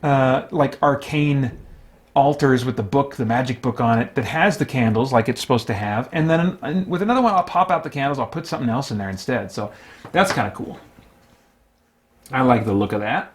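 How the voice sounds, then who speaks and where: A man hosting a solo tabletop game, giving casual, unscripted commentary and haltingly thinking aloud as he plays. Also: medium pitch, lively intonation.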